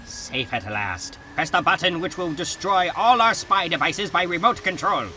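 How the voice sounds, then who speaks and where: A man's voice: high voice, expressive, normal speed, pushed and bright, slight background noise, exaggerated voice. A man playing a menacing alien invader leader in a dramatic comic-book reading, imperious and melodramatic. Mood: grim, resigned. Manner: imperious, exclamatory, melodramatic delivery.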